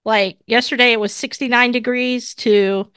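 A woman's voice: a little high, steady tone, normal speed, fairly bright tone, smooth, no echo. Congested, chatty woman co-host. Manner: chatty and opinionated, leading the talk. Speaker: podcast co-host. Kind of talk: casual chat.

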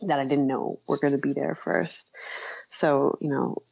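A woman being interviewed in casual conversation, talking haltingly and self-deprecatingly, often trailing off, with a creaky voice. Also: a bass voice, fairly even intonation, darkish tone, highs cut off. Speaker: interview guest, author.

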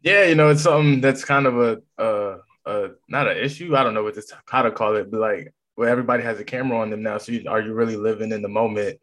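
Male American show host, slightly low-pitched, informal and chatty, casual interview delivery. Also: fast talker, few pauses, a bit bright. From Massachusetts, United States.

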